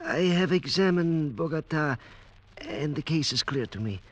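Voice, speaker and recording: An actor playing a deferential yet pompous village doctor, formal and pedantic, in 1940s radio drama style. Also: male voice, a little high, expressive, normal speed, close to an everyday voice.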